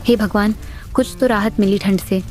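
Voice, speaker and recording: A woman voicing a poor, lonely old village woman who speaks in a weary, plaintive way, in an animated storybook. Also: medium pitch, normal speed, natural voice. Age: older. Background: background music.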